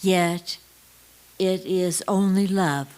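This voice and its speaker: Female poetry reader, low-leaning register, extremely slow, deliberate phrase-by-phrase delivery. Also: a little mellow. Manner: deliberate, pausing between short phrases. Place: church service.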